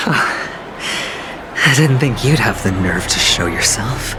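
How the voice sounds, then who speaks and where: A man's voice: medium pitch, expressive, very slow, background noise. A man voicing a sly, defiant gambler in a video game, sardonic, theatrical and self-assured. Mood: bitter, sardonic. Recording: music behind the voice. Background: game music.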